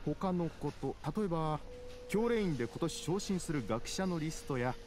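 Man acting as a fussy, animated male scholar. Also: a little high, normal speed, lightly acted. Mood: smug. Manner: fussy, academic, self-justifying. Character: middle-aged male scholar, nervous yet self-important. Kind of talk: video game voice acting. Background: game music.

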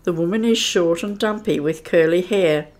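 A woman giving measured, descriptive narration for a scripted radio play. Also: on the low side, a little mellow. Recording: echoey room.

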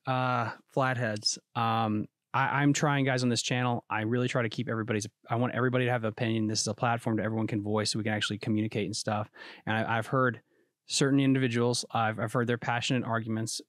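A man's voice: mid-range voice, no echo. A man in his thirties with a mid-range voice, asking loose, rambling questions as a podcast host. His voice is close and dry on a studio mic. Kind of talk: casual interview questions. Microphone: boom-arm studio mic.

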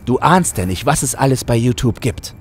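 A man's voice: mid-range voice, animated, normal speed, a little hiss or hum, natural voice. A man voicing a laid-back, sarcastic hitman with an offhand, slangy manner in an audio play.

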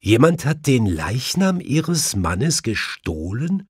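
An earnest gentleman doctor in a crime audiobook, acted by a man. He is polite, with a husky voice that moves expressively, recorded close and dry. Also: mid-range voice, big pitch swings, slow pace, no echo, pitched above the performer's own voice, heavily acted. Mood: astonished. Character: male doctor, detective's earnest, astonished companion.

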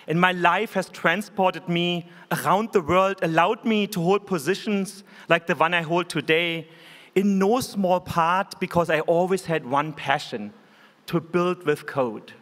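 A man's voice: sits high, unhurried, a bit bright. German man giving a keynote in a hall. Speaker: keynote speaker. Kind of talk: conference keynote speech. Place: large conference hall stage. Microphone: podium microphone. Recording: hall reverb.

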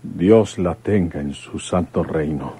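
An actor playing an earnest, quick-tempered rural commissioner in a radio soap, with folksy, halting speech. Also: male voice, deep voice, mellow, slightly rough, full character voice. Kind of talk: Mexican radio soap acting.

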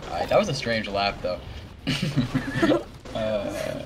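A man's voice: fairly low pitch, huge pitch swings, slow pace, background noise. A teenage boy hosting a casual gameplay video, rambling and self-deprecating, laughing often. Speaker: gaming channel host.

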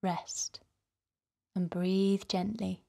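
A woman hosting a guided meditation for children, speaking in a gentle, soothing, softly breathy way. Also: mid-range voice, level tone, very slow. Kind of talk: guided relaxation narration for children.

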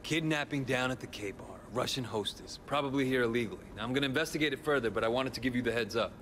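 A man's voice: medium pitch, quick pace, fairly bright tone, slight background noise, lightly acted. A man playing a confident young gangster in a video game, blunt and street-tough. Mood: businesslike. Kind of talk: naturalistic video game acting.

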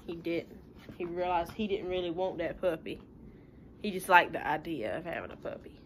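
A woman's voice: on the low side, expressive, moderate pace, a little hiss or hum. A young woman, talking informally to pupils and parents in a folksy, plain-spoken way with rhetorical questions. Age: young adult. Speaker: schoolteacher. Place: living room at home.

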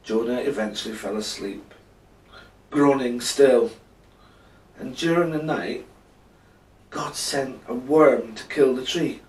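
A man in his thirties telling a children's Bible bedtime story with drawn-out emphasis, seated indoors. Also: medium pitch, a little mellow. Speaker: storyteller for a church. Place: indoor room, seated before a backdrop. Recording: roomy, echoing, distant microphone.

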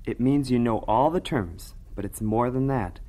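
A man playing a patient, devout older farm brother in a vintage radio drama, gentle and sincere. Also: medium pitch, normal speed, close to an everyday voice.

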